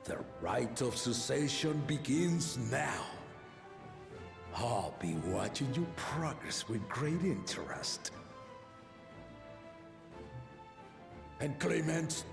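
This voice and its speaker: An actor playing an aging, benevolent king in a video game, speaking in a measured, regal, solemn manner. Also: fairly high pitch, big pitch swings, vocal fry, exaggerated voice. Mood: formal. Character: aging benevolent king and father, stately, fond of contests. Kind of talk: scripted video game voice acting. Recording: echoing hall reverb under game music.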